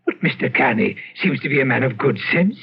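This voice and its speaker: An actor playing a polite, nervous manservant with a formal, obsequious and hesitant manner in an old-time radio drama. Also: male voice, mid-range voice, expressive, brisk, gravelly, husky. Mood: hesitant, doubtful. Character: deferential, polite manservant, nervous but proper. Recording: muffled, noisy old radio recording.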